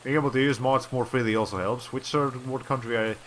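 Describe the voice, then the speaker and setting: A man's voice: mid-range voice, steady tone, brisk, a bit bright, slight background noise. A young man from Colombia, a native Spanish speaker, chatting casually on a livestream in a rambling way with many fillers and restarts. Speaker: VTuber streamer.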